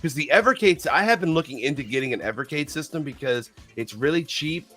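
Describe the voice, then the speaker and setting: Man with a head cold, excitable and swearing. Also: fairly high pitch, brisk, faint music behind. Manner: excitable, swears, trips over words. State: head cold, losing his voice. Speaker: podcast co-host. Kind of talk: casual livestream chat.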